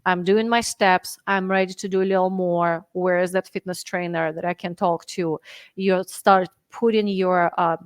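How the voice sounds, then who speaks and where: A woman's voice: on the low side, fairly even intonation, moderate pace, dry recording. A woman from Russia in her thirties, speaking English as a second language with a Russian accent, earnestly explaining her coaching steps in a podcast interview. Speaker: health and fitness coach guest. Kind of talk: conversational interview.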